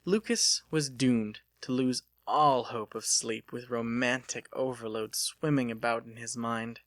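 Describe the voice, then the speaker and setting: A man's voice: mid-range voice, steady tone, unhurried, a bit bright, no room sound, clean studio sound. A man reading an audiobook as a dramatic scripted narration.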